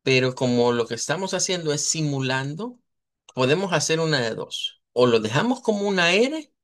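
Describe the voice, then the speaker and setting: A man teaching a live online language class. He explains at length, models sounds slowly and often checks in with his students. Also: on the high side, big pitch swings. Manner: checks in with students, asks "verdad".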